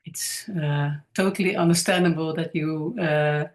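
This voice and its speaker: Dutch lady vlogging about spiritual stuff, slowish and lowish, lots of ums while she hunts for words. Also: steady tone. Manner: hesitant, searching for words, frequent fillers. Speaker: content creator. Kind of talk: casual spiritual monologue to camera.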